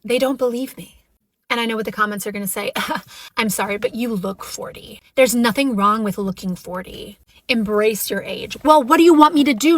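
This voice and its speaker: A woman's voice: on the high side, a bit bright, no echo. Chatty woman in her forties. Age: 40s. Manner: chatty, exasperated and self-deprecating. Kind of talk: talking to camera vlog.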